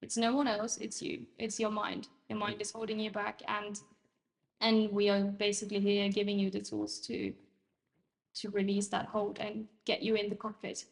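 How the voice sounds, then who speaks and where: A woman's voice: medium pitch, monotone, normal speed, creaky, poor audio. A young woman from Norway, Norwegian by first language, speaking fluent English as an interview guest, earnest and emphatic with many "like"s. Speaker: guest sharing her experience. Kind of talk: casual interview chat.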